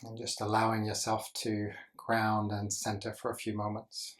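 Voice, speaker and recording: A man leading a guided meditation as a teacher, speaking gently in short instructional phrases with deliberate pauses. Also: fairly low pitch, completely flat delivery.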